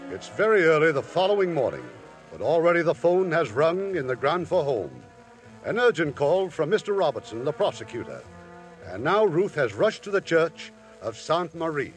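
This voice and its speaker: A man hosting and narrating a 1950s radio drama in a smooth, formal manner. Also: on the high side, sing-song, normal speed, soft background music. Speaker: host and narrator.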